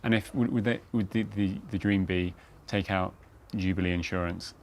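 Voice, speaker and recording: A man's voice: deep voice, steady tone, normal speed, slight background noise. A man hosting a casual interview, tentatively rephrasing his questions as he goes. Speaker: podcast host, interviewer.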